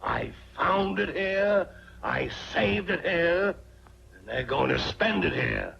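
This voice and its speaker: An actor playing a cantankerous, domineering old rich grandfather with a creaky voice, in 1940s film style with an American accent. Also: on the high side, lively intonation, slow pace, heavily acted. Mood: stubborn, commanding. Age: older. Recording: dull, noisy 1940s film soundtrack with hum.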